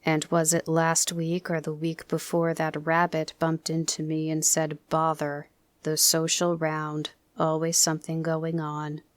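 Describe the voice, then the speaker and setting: A gloomy, pompous old donkey drags out every word with storybook self-pity, voiced by a woman reader. His voice sits a little low and slow, with a dry, close sound. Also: monotone, no echo. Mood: mournful.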